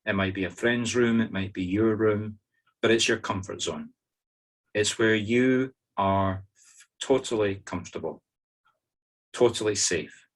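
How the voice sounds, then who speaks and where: A Scottish-accented man guides a group through a meditation in a fairly low voice. He gives simple, informal instructions one step at a time. His delivery is conversational, with wry, humorous asides. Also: unhurried, pauses often.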